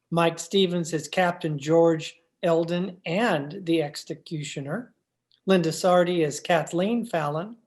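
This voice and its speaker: Casting: male radio-play announcer, measured formal read of cast and production credits. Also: a little high. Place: at home, remote session.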